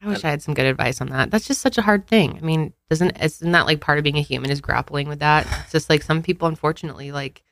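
A woman's voice: sits low, fast, few pauses, no echo, clean studio sound. A woman co-hosting a podcast, chatting casually and reading out listener questions in an agreeable, joking way. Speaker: podcast co-host.

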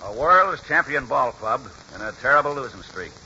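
An actor playing a folksy, exasperated old ball-club trainer in a 1940s radio drama, with slangy, ungrammatical talk. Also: male voice, mid-range voice, big pitch swings, moderate pace, sharp tone, exaggerated voice. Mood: rueful storytelling. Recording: muffled, hissy 1940s radio recording.

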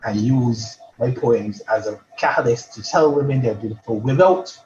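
A man from Barbados, a poet guest in a casual interview, talking haltingly with many restarts and repeated words. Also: mid-range voice, darkish tone, lo-fi sound, a little hiss or hum.

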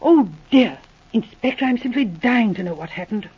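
An actress playing an excitable, curious young woman in a 1940s radio drama, who asks eager, breathless questions. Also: fairly high pitch, moderate pace, slight background noise, close to an everyday voice. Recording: dull lo-fi 1940s radio recording.

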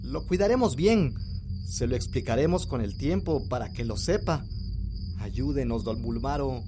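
A man's voice: medium pitch, animated, moderate pace, over music, close to an everyday voice. An actor playing a worried young father who pleads earnestly for help. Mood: pleading. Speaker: actor in a sound drama.

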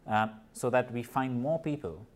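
A man's voice: mid-range voice, normal speed. A man from Nepal and India, a guest in a podcast interview, speaking earnestly and often restarting and repeating words. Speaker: guest, corporate partner and mental health advocate.

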